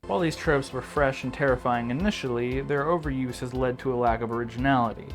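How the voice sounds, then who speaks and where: A young man delivering a casual video essay as narrator, informal and sardonic with joking asides. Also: medium pitch.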